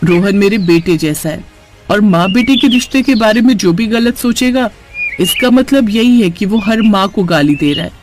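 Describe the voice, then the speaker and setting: Man voicing high-voiced young sister-in-law. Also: expressive, moderate pace, over music. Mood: firm. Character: cheerful, protective young married sister-in-law. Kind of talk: cartoon story character acting.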